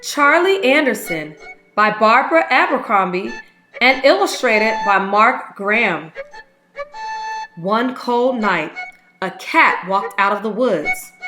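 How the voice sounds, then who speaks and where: A woman reading a children's story aloud as a read-aloud host, with expressive, unhurried storytelling. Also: on the high side. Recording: music playing behind the voice. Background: instrumental music.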